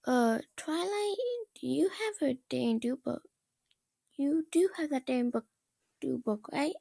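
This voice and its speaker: A woman's voice: sits high, lively intonation, a little slow, creaky, mellow, no echo, studio quality, voice pushed up, full character voice. A woman voicing a timid young female pony, speaking hesitantly and cautiously in a story reading. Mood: nervous. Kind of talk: amateur fan fiction character reading.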